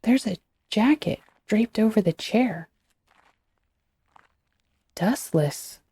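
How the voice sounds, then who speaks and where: A woman playing a wary lone scavenger logging a report from a ruined bunker in a slow, halting audio-drama delivery, her voice husky and creaky. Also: medium pitch, lively intonation, dark tone, slight background noise. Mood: tense, guarded.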